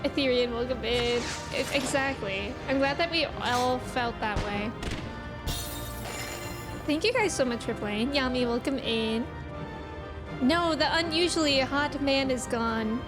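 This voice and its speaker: A woman's voice: sits high, unhurried, music in the background. A woman chatting casually and playfully as she livestreams a video game, reacting and greeting viewers.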